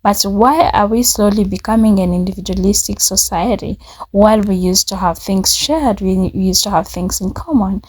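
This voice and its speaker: A woman's voice: mid-range voice, moderate pace, darkish tone, husky, poor audio. Earnest woman musing on values. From Africa. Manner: earnest, searching, some repeated restarts. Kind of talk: reflective video commentary.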